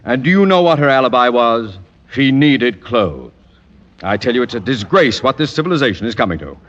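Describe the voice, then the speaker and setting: An actor playing a pompous, stern middle-aged school principal who lectures in formal diction, in 1940s radio sitcom style. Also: male voice, medium pitch, very animated, fairly quick, a bit bright. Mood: indignant. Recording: muffled old radio recording, long hall reverb.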